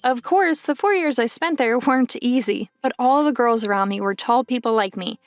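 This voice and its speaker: A woman voices a tall young female basketball pro telling her own story in a manga dub. Her voice sits fairly high, that of a once-insecure girl looking back in first-person narration. Music plays softly behind her. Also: normal speed, close to an everyday voice. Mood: proud, settled.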